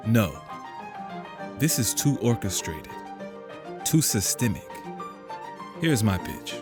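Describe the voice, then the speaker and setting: A slow-talking man played by an actor with a lowish voice voices an embittered conspiracy believer in a naturalistic audio drama. He is sardonic and accusing, stressing his points with scornful emphasis over faint music. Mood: scornful, certain. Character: Carlos, bitter, sardonic male conspiracy believer.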